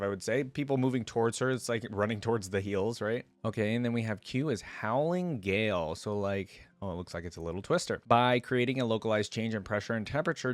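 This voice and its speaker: A man's voice: lowish voice, no room sound. Casual, enthusiastic man with a dry, close sound. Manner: enthusiastic, informal, thinking out loud. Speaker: reaction video host. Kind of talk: casual reaction commentary with some reading aloud.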